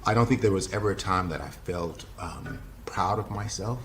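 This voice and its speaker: A man in his forties, a father speaking haltingly and thoughtfully about his family in a testimonial interview. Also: low-pitched, a little hiss or hum. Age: 40s. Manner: halting, thoughtful, with many pauses.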